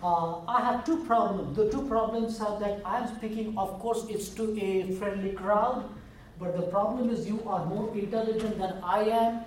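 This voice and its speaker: A man's voice: high voice, fairly even intonation, moderate pace, a little hiss or hum. A man with an Indian accent, a biology professor from India, giving a chatty, self-deprecating university lecture. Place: lecture room. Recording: roomy hall, heard from far.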